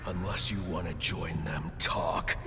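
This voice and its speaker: A man playing a grim, brooding masked vigilante detective in a video game, terse and menacing, with a gravelly growl. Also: low-pitched, fairly even intonation, unhurried, pushed and bright, faint music behind. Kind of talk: video game cutscene acting.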